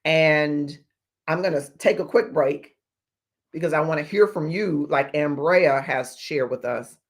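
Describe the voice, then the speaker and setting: A man in his fifties hosting a motivational livestream as a life coach, speaking in deliberate, emphatic phrases with pauses. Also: a little high. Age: 50s. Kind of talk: motivational livestream talk to viewers.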